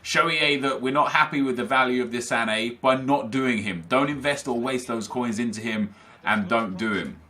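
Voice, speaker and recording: Young guy on a gaming channel, bright forceful voice, chatty and opinionated. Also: mid-range voice, moderate pace. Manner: energetic, opinionated, reads viewer comments aloud. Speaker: gaming YouTuber. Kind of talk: casual commentary to viewers.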